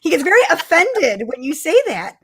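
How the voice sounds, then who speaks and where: Excitable, higher-pitched woman chatting. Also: moderate pace. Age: middle-aged. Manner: animated, giggly, excitable. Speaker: podcast co-host. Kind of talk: casual fan chat on a live stream.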